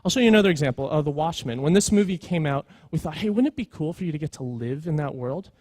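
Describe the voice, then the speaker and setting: A man delivers a conference talk in a conversational, earnest style. His voice sits a little high yet is relaxed and mellow. The sound is close and dry, and he stresses his points informally. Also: fast talker, no room sound. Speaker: conference speaker, creative executive. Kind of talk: conference presentation, conversational storytelling.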